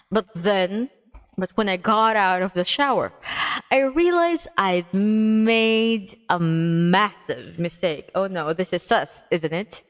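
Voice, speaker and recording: A woman livestreamer reading a visual novel aloud expressively, with playful casual asides. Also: mid-range voice. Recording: music behind the voice. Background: music.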